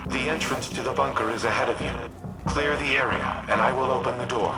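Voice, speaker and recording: A man playing a grave, formal artificial intelligence built for war, speaking measured, remorseful lines in a video game. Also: medium pitch, loud music behind the voice, natural voice, poor audio. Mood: solemn, instructive. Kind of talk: scripted video game dialogue.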